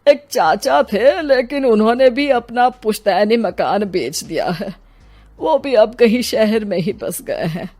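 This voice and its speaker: A woman's voice: on the high side, unhurried, a little mellow. A woman playing a grieving, weary old father in a dramatized story, speaking plaintively and respectfully. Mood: reflective. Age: older. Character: grieving, weary elderly father, polite and sorrowful. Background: music.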